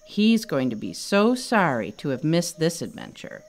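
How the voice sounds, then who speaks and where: A woman's voice: low voice, big pitch swings, unhurried, heavily acted. A woman voicing a mischievous, eager little boy monkey in a storybook, exclaiming and wheedling. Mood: confident. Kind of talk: storybook character voice.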